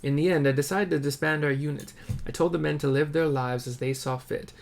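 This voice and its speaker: A man's voice: a little high, steady tone, brisk, vocal fry. A man voicing a friendly, earnest veteran resistance soldier from a fantasy game. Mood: warm, reflective.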